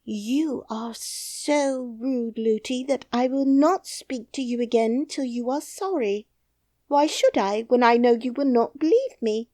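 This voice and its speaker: Brief: a woman doing a composed little storybook princess, high, measured and lively, dry close sound. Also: no echo. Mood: firm. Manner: quiet, composed, firm. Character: calm, dignified little princess girl. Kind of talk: audiobook character voice.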